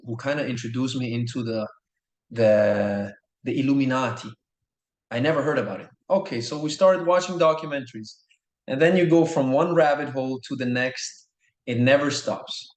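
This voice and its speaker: A man in his thirties from Norway, telling his story as a podcast guest in an earnest, enthusiastic way, often repeating himself. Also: mid-range voice. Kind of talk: casual storytelling. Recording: echoey room.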